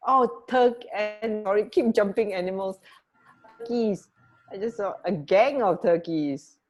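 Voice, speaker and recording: Unhurried woman chatting on radio. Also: mid-range voice, darkish tone, muffled recording, soft background music. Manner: musing, repeats words to herself. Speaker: radio show co-host. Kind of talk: casual chat about trivia.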